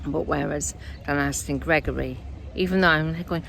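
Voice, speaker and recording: A woman speaking as a spiritual medium in a casual, earnest, rambling monologue, her voice croaky and dry. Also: low-pitched, normal speed, fairly bright tone, slight background noise. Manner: earnest, rambling, self-correcting.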